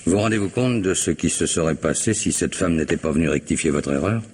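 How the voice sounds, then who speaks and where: An actor playing a stern, probing police commissioner, questioning in a formal, measured way in an old radio drama. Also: male voice, sits low, lively intonation, a little mellow, husky. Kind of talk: 1960s French radio drama acting.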